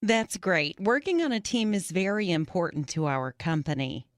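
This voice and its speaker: A woman reading the interviewer's part in a scripted practice job interview, asking clear, even questions. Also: lowish voice, normal speed, no room sound, studio quality.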